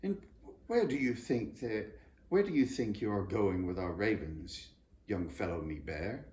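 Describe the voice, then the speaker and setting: A man's voice: on the low side, animated, a little slow, darkish tone. A man reading a children's storybook, voicing a stern, blustering, indignant male guard in charge. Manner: indignant, authoritative. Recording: roomy echo, clear background noise.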